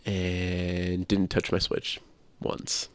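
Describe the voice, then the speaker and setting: A man with a creaky voice co-hosting a casual video game podcast, chatting conversationally. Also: completely flat delivery, drawn-out words, no room sound. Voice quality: low creaky voice. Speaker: podcast co-host. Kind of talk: casual podcast chat about video games.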